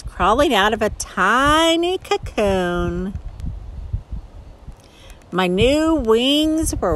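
A woman's voice: a little high, a little hiss or hum, voice pushed up, heavily acted, rough recording. A woman voicing a butterfly in a children's storybook reading, telling her story emphatically.

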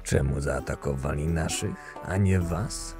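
A man's voice: a bass voice, measured pace, over music. A man acting a cool, cynical camp boss who narrates his story dryly in a fantasy audio drama. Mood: cool, curt. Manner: dry, detached, curt.

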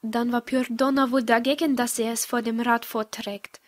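A woman's voice: fairly high pitch, flat delivery, moderate pace, close to an everyday voice. A woman playing a dry, doubting adventurer in a fantasy audio drama. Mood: observant.

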